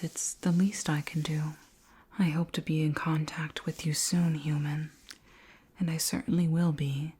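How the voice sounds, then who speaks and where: A woman acts out an alien queen, curious and clinical yet tender, for whispered ASMR. Her voice is low, dark and very breathy. She addresses the listener slowly, in formal, soothing phrases. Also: heavily acted. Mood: tender, inviting. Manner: slow, gentle, formally worded, soothing.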